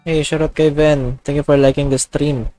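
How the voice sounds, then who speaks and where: A man's voice: fairly high pitch, flat delivery, a little fast, dark tone, dry recording. A man with a Filipino accent, a native Tagalog speaker, chatting casually on a gameplay livestream in a mix of Tagalog and English. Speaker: game livestreamer. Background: game music.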